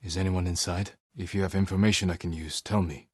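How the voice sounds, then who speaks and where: A man playing a solemn, resolute samurai warrior in a video game, speaking in a husky, measured, grave way with short phrases. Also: deep voice, steady tone, no room sound, clean studio sound. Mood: somber, gentle. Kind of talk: dramatic video game character dialogue.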